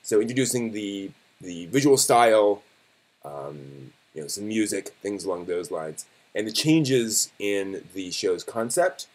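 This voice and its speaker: A man hosting a live stream, giving news commentary in a casual, conversational and somewhat halting way, often correcting himself. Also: lowish voice, sing-song, a little mellow.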